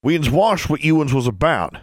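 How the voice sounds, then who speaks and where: Man as a stern backwoods boy, raised pitch. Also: animated, brisk, no room sound, studio quality, close to an everyday voice. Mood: stern, accusing. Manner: loud, domineering, rustic dialect. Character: stern, self-righteous backwoods teenage boy wizard.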